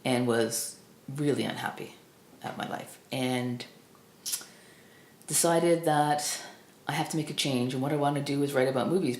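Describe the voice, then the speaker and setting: Gravelly, thoughtful woman in her fifties. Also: a bass voice, darkish tone, a little hiss or hum. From Burlington, Ontario, Canada. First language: Estonian. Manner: thoughtful, halting, many restarts and fillers. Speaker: film critic guest. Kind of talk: reflective personal storytelling. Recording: echoey room.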